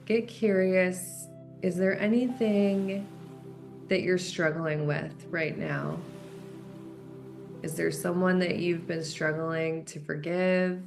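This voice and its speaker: A woman leading a guided meditation in a gentle, unhurried way, leaving long pauses between instructions. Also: fairly low pitch, fairly even intonation, gravelly. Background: background music.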